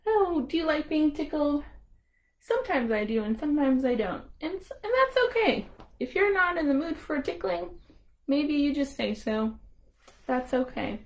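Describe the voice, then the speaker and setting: A woman's voice: sits high, sing-song, normal speed. An American woman hosting a storytime for young children as a librarian, playful and encouraging, speaking in simple phrases.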